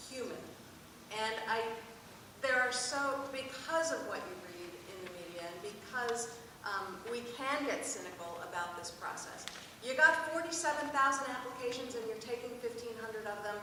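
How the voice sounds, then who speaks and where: A woman's voice: on the high side, sharp tone. A middle-aged American woman moderating a live panel, speaking earnestly and emphatically to an audience. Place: auditorium at a public school event. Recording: roomy echoing hall, distant mic, background noise.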